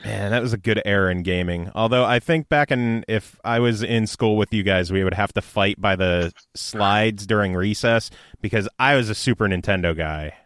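A man in his thirties hosting a podcast, telling stories in an animated, chatty way. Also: fairly low pitch, normal speed, hardly any pauses, clear, resonant tone. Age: 30s. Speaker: podcast host. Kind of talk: casual chat.

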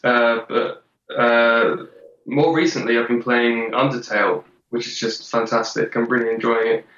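A man's voice: medium pitch, fairly even intonation, moderate pace, a bit bright, echoey room, recorded from a distance, muffled recording. A man in his twenties chatting as a podcast guest, hesitant with many ums. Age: 20s. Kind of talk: casual chat.

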